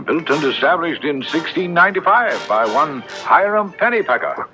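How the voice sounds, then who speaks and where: Fussy, lively old gentleman. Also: on the high side, normal speed. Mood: fond, reassuring. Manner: hesitant, musing, old-fashioned exclamations. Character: kindly, fussy old scholarly gentleman, loyal friend. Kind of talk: old radio drama acting. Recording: dull lo-fi radio recording with music.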